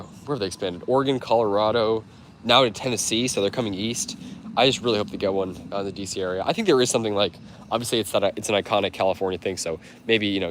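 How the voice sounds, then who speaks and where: A man's voice: on the low side, a little hiss or hum. A man with a stuffed-up cold voice, chatting casually on a livestream, rambling with lots of fillers and restarts. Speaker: livestream host.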